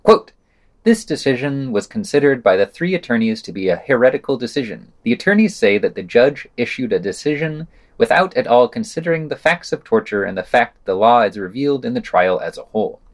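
A man's voice: mid-range voice, very animated, a little fast. A man reading a scripted news roundup as a podcast presenter, adding wry, sardonic asides.

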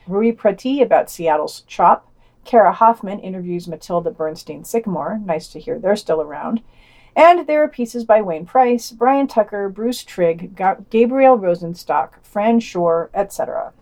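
A woman's voice: mid-range voice, darkish tone. A woman reading a scripted podcast news roundup, dropping in casual, playful and wry asides.

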